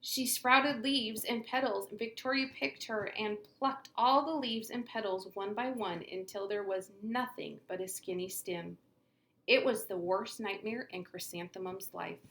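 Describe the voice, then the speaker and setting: Gravelly woman reading a storybook aloud. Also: mid-range voice, unhurried, reverberant, low-quality recording. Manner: expressive, storytelling read-aloud. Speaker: teacher. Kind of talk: reading a picture book aloud. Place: classroom.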